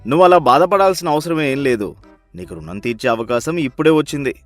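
A man's voice: fairly high pitch, lively intonation, fairly quick, no echo, soft background music, natural voice. A man playing a miserly, sly rich husband in a story, with a bossy, scolding, sarcastic manner. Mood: falsely kind, sly.

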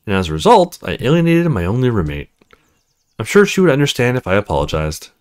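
Man reading as cool married woman, very lively intonation. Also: mid-range voice, fast, smooth. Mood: confiding, frank. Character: cool, confiding married female neighbor, self-described ruthless cougar. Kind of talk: visual novel dialogue read aloud.

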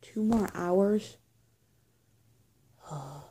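A young woman improvises one side of a roleplay scene. Her voice is husky and on the dark side, slightly muffled by a scarf, and she takes her time with unhurried delivery. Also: mid-range voice. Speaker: amateur roleplay video creator. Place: small plain indoor room. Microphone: phone or webcam at arm's length.

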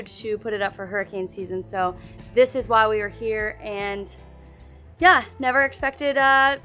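A woman's voice: a little high, fairly bright tone. Anxious woman filming herself on a boat. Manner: anxious, talking straight to a handheld camera. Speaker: sailing vlog host. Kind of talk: casual vlog narration. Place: cabin of a sailing catamaran. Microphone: handheld camera at arm's length.

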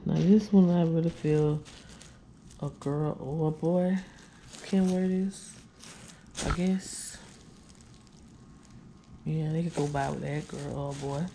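Tired woman vlogger, gravelly low fry, casual y'all chat, faint room noise. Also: steady tone, takes it slowly, long pauses. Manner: chatty, tired, addresses viewers as y'all. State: weary. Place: living room, on couch, indoors. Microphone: handheld camera at close range.

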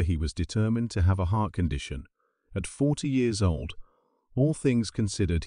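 A man reading a scripted documentary narration in a steady, even voice-over. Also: fairly low pitch, no echo, clean studio sound. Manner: steady, even reading of garbled paraphrased text.

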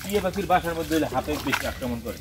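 A man speaks quickly and with a fairly high pitch, explaining the way ahead as he walks outdoors. Clear background noise from the forest runs under his voice. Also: animated. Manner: rapid, explanatory. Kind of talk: casual chat while walking.

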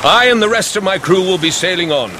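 A man's voice: high voice, lively intonation, fast, noisy background. A man acting in a radio drama as an authoritative, formal count commanding his yacht. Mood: assured.

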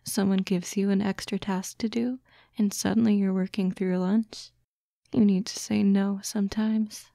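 A woman voicing a doting, teasing fox-girl girlfriend in a soft, breathy, coaxing ASMR roleplay, close to the microphone. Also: mid-range voice, level tone, no echo, close to an everyday voice. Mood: tender, concerned. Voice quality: soft, breathy, often whispering. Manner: slow, coaxing, cooing. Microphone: close, dry microphone.

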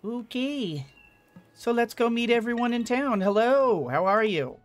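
A man's voice: very high-pitched, expressive, measured pace, faint music behind. A middle-aged man giving casual gameplay commentary, muttering to himself as he plays. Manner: muttering to self while playing. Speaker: game streamer. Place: indoor room at a desk.

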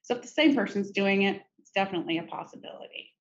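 A middle-aged woman presenting a software demo in a conversational, informal step-by-step tutorial style. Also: mid-range voice, fast. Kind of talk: conversational screen-share tutorial. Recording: muffled, noisy screen-recording audio.

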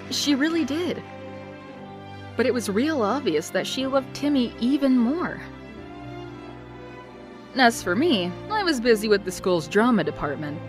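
Woman doing a wry, wistful young narrator with a lively, animated pitch. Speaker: narrator of her own past. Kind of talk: comic dub narration.

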